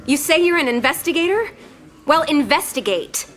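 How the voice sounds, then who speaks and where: An earnest young woman with a fairly high voice over faint music. She sounds emotional and defensive, an anxious, sincere character in a scripted audio drama. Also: lively intonation, moderate pace, fairly bright tone. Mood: insistent.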